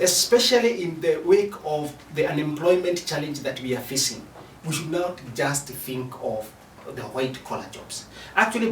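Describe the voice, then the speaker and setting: Echoey Kenyan man giving deliberate advice. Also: on the high side. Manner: deliberate, emphatic, repeats phrases. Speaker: interviewed commentator on youth employment. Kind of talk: formal spoken interview advice. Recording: echoey, distant mic, faint noise.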